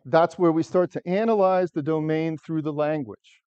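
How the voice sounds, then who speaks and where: A loud, engaging middle-aged man from the United States speaks to a seated audience in a lecture room, his fairly high voice carrying without a microphone. The sound is dull and lo-fi, caught by a camera at the back of the room. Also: steady tone, normal speed, clear, resonant tone. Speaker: software architect giving a talk. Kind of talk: conference talk to a live audience. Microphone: far camera, no microphone.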